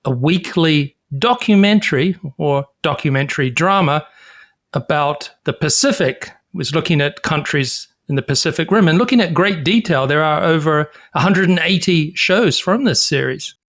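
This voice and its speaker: A man co-hosting a podcast, explaining history in a measured, informative way. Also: a little high, lively intonation, a bit bright. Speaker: podcast co-host. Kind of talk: casual chat.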